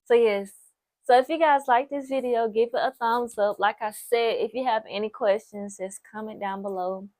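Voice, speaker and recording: A woman's voice: on the high side, fairly even intonation, normal speed, darkish tone, dry recording. A nineteen-year-old woman vlogging casual advice to camera, chatty and encouraging, repeating points for emphasis. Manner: chatty, repeats herself for emphasis, lots of "like" and "so, yes"; encouraging, speaks straight to the viewer.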